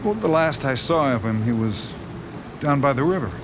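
An actor playing a politely menacing male stranger in a radio drama, probing in a soft, insinuating way. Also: mid-range voice, expressive, normal speed, lightly acted. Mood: sly, polite. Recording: outdoor ambience noise behind voice.

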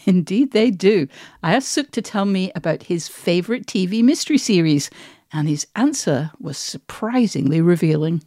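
A woman with a fairly low voice hosts a podcast in a conversational way. The sound is close and dry, with no room on it. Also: expressive, moderate pace, warm tone, dry recording. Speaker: podcast host, interviewer.

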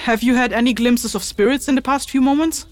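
A woman's voice: on the high side, brisk, slight background noise, close to an everyday voice. A woman playing a thoughtful, reserved investigator in a fantasy audio drama, polite and tentative in her questions. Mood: pensive.

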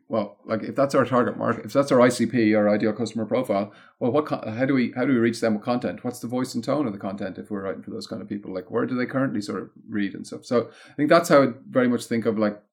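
Irish guy, lowish voice, interview guest talking thru his work, rambly n stop-start. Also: darkish tone. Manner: discursive, halting explanations peppered with "like" and "you know". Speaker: interview guest, content marketing consultant. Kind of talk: casual interview conversation.

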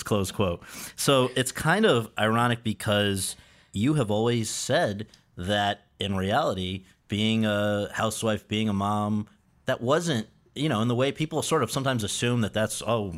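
Male interviewer, fairly low voice, prepared and friendly. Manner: prepared, reads quotes back, friendly laughs. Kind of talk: conversational interview.

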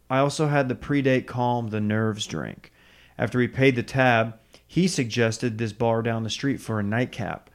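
Casting: man, mid-pitched, podcast co-host reading listener mail. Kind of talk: reading a listener email aloud.

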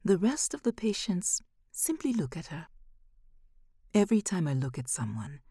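A woman narrating scripted material as a podcast host, with a measured, expressive delivery. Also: fairly low pitch, slightly rough, no echo, studio quality.

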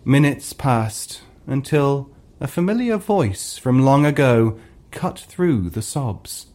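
A man's voice: mid-range voice, expressive, takes it slowly, mellow. A man giving a dramatic scripted narration of a fantasy story.

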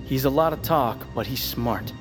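A man's voice: mid-range voice, moderate pace, music in the background, natural voice. A man playing a skeptical, exasperated doctor in a naturalistic audio drama, with a clipped, sarcastic manner. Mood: grave, reluctant. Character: skeptical, exasperated male doctor guarding his experimental drug. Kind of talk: naturalistic fiction podcast acting.

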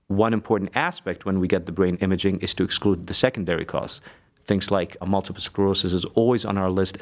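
Low-voiced neurologist man from Egypt. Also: creaky. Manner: measured, technical explanations. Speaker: neurologist guest. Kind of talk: expert medical interview.

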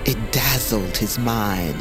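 A man narrating a fantasy tale in a solemn, deliberate, dramatic storytelling style. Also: fairly low pitch. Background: prominent music.

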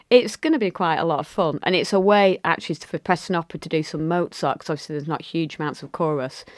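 A woman's voice: low-pitched, no echo. A woman conductor, a guest in a radio studio, chatting with enthusiasm and wandering sentences. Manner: enthusiastic, rambling with fillers. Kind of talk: casual chat.

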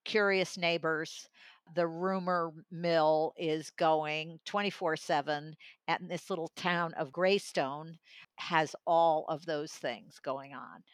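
Brisk, lower-pitched woman discussing books. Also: steady tone, no room sound. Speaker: audiobook reviewer and regular guest. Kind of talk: conversational book discussion.

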